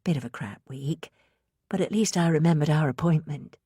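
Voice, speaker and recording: Woman acting cool audiobook mother, smooth low tones, understated, composed, very dry recording. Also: moderate pace, dark tone, no room sound.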